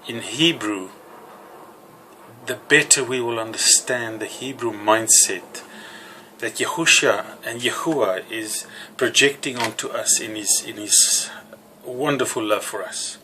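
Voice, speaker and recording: Slow, bright-voiced Afrikaans-preacher. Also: male voice, mid-range voice, a little hiss or hum, poor audio. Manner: slow, halting, with many fillers and restarts. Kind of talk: sermon.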